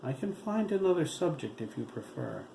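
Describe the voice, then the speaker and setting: A man's voice: a little high, gravelly, pitched above the performer's own voice. A man voicing a cold, clinical doctor. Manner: matter-of-fact and measured, at times hushed. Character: cold, clinical male doctor doing experiments. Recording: roomy room with steady hiss.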